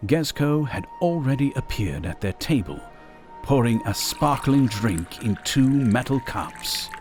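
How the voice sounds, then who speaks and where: A man narrating a fantasy audio drama in a scripted storytelling style. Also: mid-range voice.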